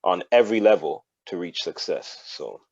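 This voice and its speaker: A man's voice: low voice, animated, normal speed, a little mellow, slightly rough, no echo, highs cut off. A man speaking as a podcast guest in an earnest, thoughtful way, often repeating words as he thinks. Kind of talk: casual podcast chat.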